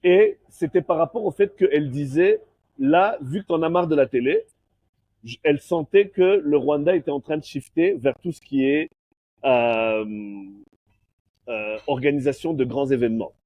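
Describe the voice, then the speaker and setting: Un homme d'une quarantaine d'années, né en Belgique et élevé au Congo, invité d'une interview, qui mêle le français et l'anglais en parlant. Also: male voice, mid-range voice, normal speed, mellow. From born in Belgium, raised in Congo. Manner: switches between French and English mid-sentence. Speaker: guest, master of ceremonies. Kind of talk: casual interview chat.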